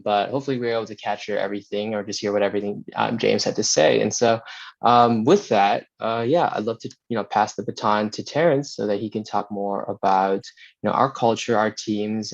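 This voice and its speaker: Gravelly, hesitant man on a video call. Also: on the low side, no room sound, highs cut off. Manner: hesitant, lots of fillers and restarts. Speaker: info session host. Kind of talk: casual live video call presentation.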